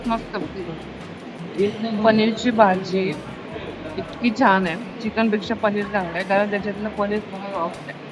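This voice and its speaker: A woman's voice: mid-range voice, steady tone, normal speed, over music, low-quality recording. A woman chatting casually on camera in a travel vlog.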